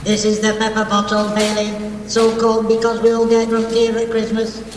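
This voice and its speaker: A performer voicing a pompous, menacing little mutant robot in a sci-fi comedy, speaking in a slow, officious monotone. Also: mid-range voice, close to an everyday voice. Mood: officious menace. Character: pompous menacing diminutive mutant robot Pepperpot, a Dalek parody. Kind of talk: comic audio sci-fi parody. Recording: echoey hall, distant, faint noise.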